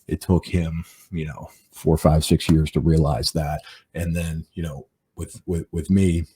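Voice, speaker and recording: Very deep-voiced man in his forties. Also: flat delivery, measured pace, noisy background. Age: 40s. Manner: rambling, many fillers and repeated words. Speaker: podcast host. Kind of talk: casual reflective monologue.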